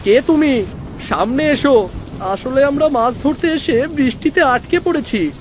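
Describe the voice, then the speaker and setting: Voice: high voice, unhurried, a little hiss or hum. An actor voicing a brave, protective big brother, a village boy in a cartoon story. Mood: bold, calm.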